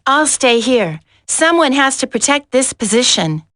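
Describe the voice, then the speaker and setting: Feisty proud army woman in a game, highish sharp voice, dry sound. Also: sing-song, a little slow, no room sound, full character voice. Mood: impatient. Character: feisty, confident female soldier, proud and sharp-tongued. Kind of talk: dramatic video game voice acting.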